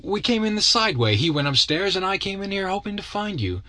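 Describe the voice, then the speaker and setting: Man voicing a defiant young gentleman, raised pitch, brisk entreating manner, dry sound. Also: very animated, dry recording, exaggerated voice. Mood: defensive.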